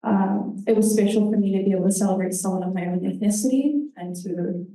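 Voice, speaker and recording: Young New woman, earnest halting presenter, dark mellow tone, heard from afar in a roomy hall. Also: mid-range voice. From New Zealand. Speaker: intern presenter. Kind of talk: conference presentation. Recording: roomy hall, distant mic.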